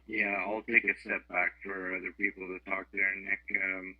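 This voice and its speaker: A man's voice: low voice, little pitch movement, moderate pace, breathy edge, no room sound. A young man calling in to a radio club net, chatting casually and self-effacingly over a muffled walkie-talkie transmission. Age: young adult.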